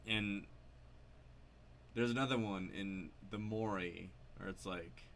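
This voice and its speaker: A man's voice: lowish voice, slow, gravelly, a bit bright, slight background noise. A man giving casual, unscripted game commentary, hesitant and rambling as he thinks aloud. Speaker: video creator commenting on a horror game.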